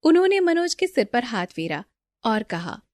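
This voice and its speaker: A woman's voice: a little high, sing-song, moderate pace, darkish tone, no echo, studio quality. A woman storyteller reading a scripted children's moral story as narrator.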